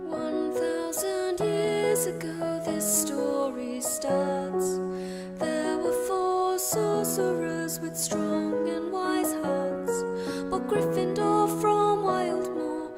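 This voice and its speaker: A woman singing a lullaby-like storytelling ballad over loud music. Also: very high-pitched, drawn-out words.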